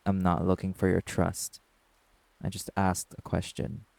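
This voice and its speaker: A man's voice: completely flat delivery, a little slow, darkish tone, no room sound. A man playing a brash, guarded young werewolf musician in an audio drama, with curt, sarcastic, dismissive delivery. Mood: guarded, sardonic. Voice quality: gravelly, low. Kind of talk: dramatic audio roleplay acting.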